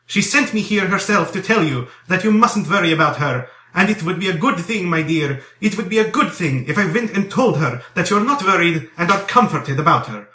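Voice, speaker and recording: A kindly old police commissioner, as a male narrator acts him out, rambles on in a fatherly, earnest way. The voice is animated and bright, flustered and coaxing, recorded in an echoing room for a storybook. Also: sits high, brisk, hardly any pauses, voice pushed up. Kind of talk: dramatized audiobook character dialogue. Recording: roomy echo.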